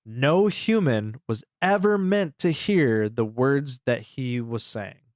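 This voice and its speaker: A man's voice: mid-range voice, animated, slow pace, a little mellow, no room sound. A man co-hosting a podcast, recapping a story in a rambling, casual way and swearing freely. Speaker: podcast co-host.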